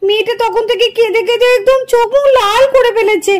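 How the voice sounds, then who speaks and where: A very high-voiced, doting ghost auntie played by a woman in a puppet cartoon. She coos and exclaims over a baby and is quick to scold. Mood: tender cooing.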